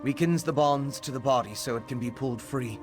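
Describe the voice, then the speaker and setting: An earnest, scholarly alchemist played by a male actor explains things carefully in a fantasy game. His medium-pitched male voice is thoughtful and focused, with music clearly playing behind it. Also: fairly quick, natural voice. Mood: thoughtful, intrigued. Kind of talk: fantasy video game dialogue.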